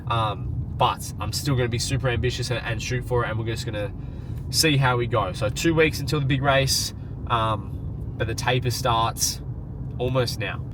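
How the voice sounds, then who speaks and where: A young man vlogs with a stuffy, nasal head-cold voice, chatty and upbeat and full of "like" and "um." His pitch sits mid-range for a man. Clear car and street noise runs under him. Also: normal speed. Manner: chatty and upbeat, many fillers. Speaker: running vlogger. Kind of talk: casual vlog talk to camera. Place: in a car, then at an outdoor sports field. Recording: noisy car and outdoor sound.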